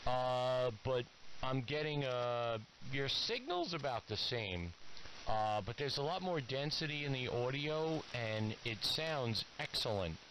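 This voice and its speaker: A man's voice: medium pitch, expressive, takes it slowly, fairly bright tone, low-quality recording. A man from New York talking casually as an amateur radio operator over shortwave, chatty and rambling. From New York, USA. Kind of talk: casual ham radio exchange. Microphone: transmitted over AM shortwave radio. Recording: hissy AM shortwave radio transmission.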